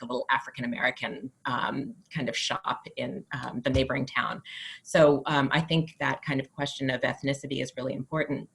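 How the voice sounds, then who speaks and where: A woman speaking in a panel discussion over a video call, hesitant and thoughtful, with frequent ums. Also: rumbling low. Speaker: panel participant. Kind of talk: discussion remarks.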